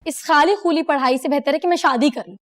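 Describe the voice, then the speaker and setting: A woman's voice: high-pitched, fast talker, close to an everyday voice. An actress playing a feisty, defiant young woman in a comedy drama, protesting and pleading dramatically. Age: young adult. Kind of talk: Urdu TV comedy drama acting.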